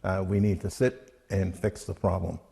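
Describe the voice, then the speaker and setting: A man's voice: low-pitched, little pitch movement, moderate pace, vocal fry, dark tone, breathy edge. An older man giving interview comments as an aviation safety expert. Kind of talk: interview soundbites.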